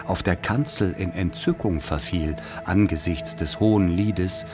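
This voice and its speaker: Radio drama narrator: wry man recalling boyhood, very deep, unhurried, measured and solemn. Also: music in the background. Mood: reflective, incantatory. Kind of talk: literary radio play narration.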